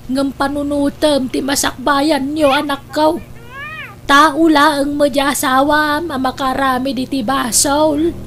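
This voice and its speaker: A woman's voice: high-pitched, level tone, slow, a bit bright, a little hiss or hum, heavily acted. A woman playing an exasperated older mother in a radio drama, scolding and urging her grown son with exclamations. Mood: exasperated, advising. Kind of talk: Ilocano radio drama acting.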